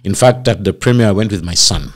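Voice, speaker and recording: Middle-aged male actor as interview guest: low voice, expansive storyteller. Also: quick pace. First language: not Swahili. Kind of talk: casual interview chat.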